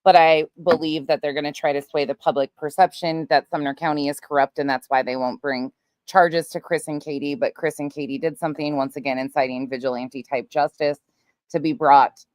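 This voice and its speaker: A woman's voice: deep voice, steady tone, fairly quick, a little mellow, no echo. A woman commentating on played clips on an online talk show, casual, opinionated and emphatic.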